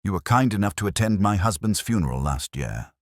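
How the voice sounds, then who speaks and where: A composed Victorian widow acted out by a male storybook reader, severe and direct. The voice stays low for a lady, with formal phrasing and lively intonation in a dry recording. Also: brisk, dry recording. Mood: gracious, formal.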